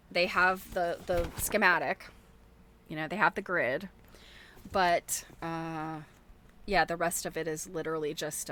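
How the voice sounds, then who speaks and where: A woman's voice: low-pitched, a bit bright, slight background noise. A middle-aged woman chatting casually as she shows things to viewers, thinking aloud in a rambling, chuckly way. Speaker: host of a quilting show. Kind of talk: casual show-and-tell chat.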